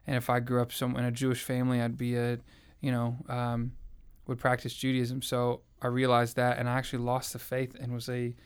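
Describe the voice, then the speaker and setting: An earnest young man recounts his personal testimony in a reflective, conversational way. His voice is smooth and clear. He keeps his pitch fairly flat and even as he speaks. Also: fairly quick, few pauses. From American South. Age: young adult. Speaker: interviewee sharing his faith story.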